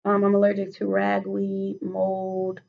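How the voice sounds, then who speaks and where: A woman with a raspy voice answering questions as a patient in a role-played clinical interview, hesitant with many fillers and restarts. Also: medium pitch, completely flat delivery, unhurried, narrow, tinny audio. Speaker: mock patient in a role-play case study.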